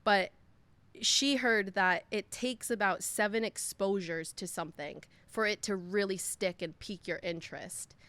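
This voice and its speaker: A woman's voice: mid-range voice, a little slow, a bit bright. A young woman, a podcast guest, telling a personal story in a chatty way with lots of fillers. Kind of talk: casual storytelling.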